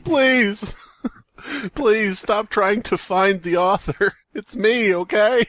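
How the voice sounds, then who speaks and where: A man's voice: high voice, slow pace, a bit bright, dry recording. A man co-hosting a podcast with casual comedic banter. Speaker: podcast co-host.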